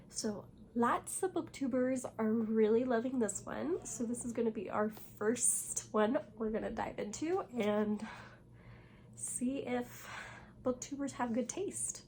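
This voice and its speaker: A young woman talks through a casual book vlog, her voice hoarse and worn from being sick. She sits a little high in pitch and rambles, full of "like" and "um." A faint hiss of background noise runs under her. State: hoarse, recovering from losing her voice. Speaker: booktuber. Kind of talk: casual reading vlog chat.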